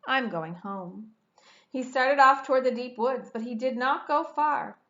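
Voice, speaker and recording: A woman's voice: high-pitched, fairly even intonation, normal speed, a bit bright, lo-fi sound. A woman in her forties reading a children's storybook aloud expressively, stretching words for dramatic emphasis. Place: indoor room at home.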